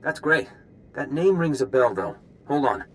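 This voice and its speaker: A man acting a helpful junior intelligence officer in a video game. He has a mid-pitched voice and an earnest, respectful, businesslike delivery. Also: expressive, measured pace, natural voice. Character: deferential, helpful male intelligence desk officer. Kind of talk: scripted game cutscene dialogue.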